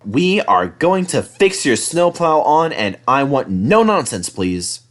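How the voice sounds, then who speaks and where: A man's voice: mid-range voice, big pitch swings, slow pace, fairly bright tone, pitched above the performer's own voice. A man voicing a stern, practical engine driver in a storybook reading, with a firm, admonishing manner.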